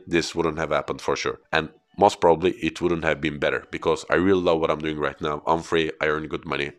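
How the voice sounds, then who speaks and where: A man in his thirties from Turkey, a native Turkish speaker, hosting a solo podcast in English and earnestly telling his own story. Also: a bass voice. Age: 30s. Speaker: podcast host and corporate trainer.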